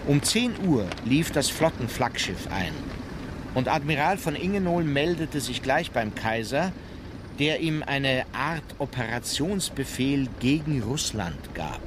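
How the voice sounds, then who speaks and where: An actor playing a sober naval officer, narrating a diary factually and formally in a historical radio drama. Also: male voice, medium pitch, very animated, unhurried. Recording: clearly noisy outdoor background.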